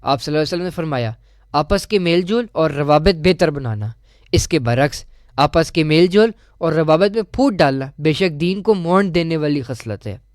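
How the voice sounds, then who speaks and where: A man presenting on radio, reading a scripted religious article in a formal, measured manner with careful enunciation. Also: a little high, expressive, dry recording, studio quality. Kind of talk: scripted devotional narration.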